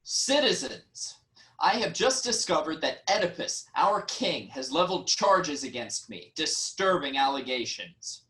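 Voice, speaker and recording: A man playing a wronged, reasoning nobleman in a formal, measured reading of ancient Greek tragedy. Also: on the high side, big pitch swings, fairly bright tone, low-quality recording. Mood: wounded, indignant. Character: wronged, reasoning nobleman, brother-in-law of the king.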